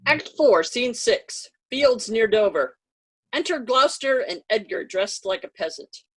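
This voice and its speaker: A woman's voice: fairly high pitch, expressive, unhurried, husky, poor audio. A woman reading out stage directions as a scripted narrator.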